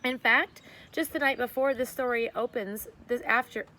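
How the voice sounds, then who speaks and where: A woman's voice: a little high, normal speed, sharp tone. A woman reading a children's story aloud expressively, like a teacher addressing her class.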